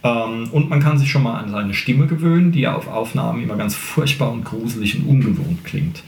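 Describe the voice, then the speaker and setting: A man with a mid-pitched voice hosts a relaxed group podcast. He explains things colloquially, with a joking streak, like a singing teacher. The room is audibly echoey. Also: brisk, vocal fry. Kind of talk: casual podcast group chat. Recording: roomy, echoey room sound.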